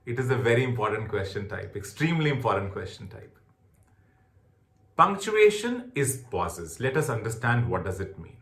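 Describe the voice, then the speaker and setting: Male test-prep trainer, Indian accent, instructional delivery with lively intonation and emphatic repetition, recorded in a reverberant room. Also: mid-range voice. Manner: emphatic, repeats key points. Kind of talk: instructional lecture on exam tips.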